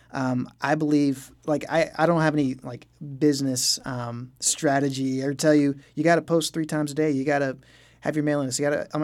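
A man's voice: mid-range voice, a little mellow. A man speaking as a musician interview guest, reflective and earnest in a conversational style.

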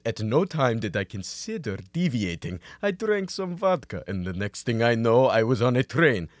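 A man's voice: mid-range voice, very animated, moderate pace, dry recording, natural voice. A young man acting an earnest, naive and anxious Soviet comrade, formal and literal-minded, in a radio comedy. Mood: earnest pleading. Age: young adult.

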